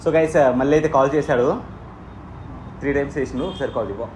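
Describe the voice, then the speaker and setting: A man's voice: medium pitch, quick pace, darkish tone. A man chatting casually as the host of a vlog. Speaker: prank channel host. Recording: very noisy live recording.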